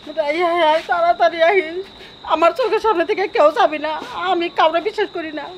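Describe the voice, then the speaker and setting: A woman's voice: extremely high pitch, fast talker, crowd noise. A woman playing a suspicious, bossy village grandmother, scolding shrilly in a rural Bangladeshi dialect. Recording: music bed under dialogue.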